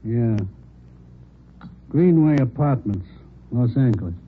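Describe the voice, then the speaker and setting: An actor playing an observant, easygoing crime lab technician in a vintage radio drama, casual and terse. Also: male voice, medium pitch, expressive, takes it slowly. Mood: businesslike. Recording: narrow, noisy old-time radio recording.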